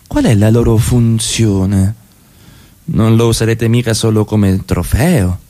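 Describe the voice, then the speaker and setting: A man's voice: lowish voice, slow, dark tone. A man playing a jealous, mocking, menacing middle-class husband in radio theatre, with a probing, sardonic delivery. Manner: probing, sardonic, swinging in intensity.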